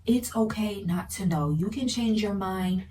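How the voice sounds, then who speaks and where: A woman's voice: mid-range voice, unhurried, warm tone. A young woman in her early twenties talks casually to her followers in a girly, familiar way. Her voice sits a little away from the mic, with faint noise around it. She comes across as a vlogger sharing advice. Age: early 20s. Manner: girly, familiar address to viewers. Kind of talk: casual advice chit chat to camera. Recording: distant mic with light background noise.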